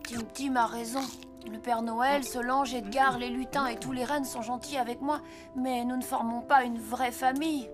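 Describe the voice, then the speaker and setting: Woman playing an earnest high-voiced cartoon boy. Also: fairly even intonation, moderate pace, natural voice. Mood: sincere. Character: earnest, polite young orphan boy apprenticed to Father Christmas. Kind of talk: French cartoon dubbing. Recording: music behind the voice.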